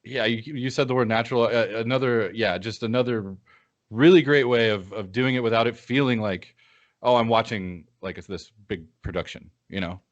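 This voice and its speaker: A man co-hosting a casual film podcast, piecing his thoughts together haltingly as he talks. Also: medium pitch, highs cut off. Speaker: podcast co-host.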